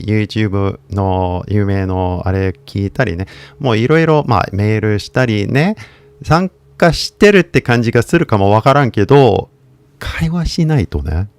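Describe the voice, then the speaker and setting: A man's voice: on the low side, darkish tone, no echo. An American man, a native English speaker, giving a casual language lesson in a loose, rambling way, full of fillers and self-corrections. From United States. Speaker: English teacher.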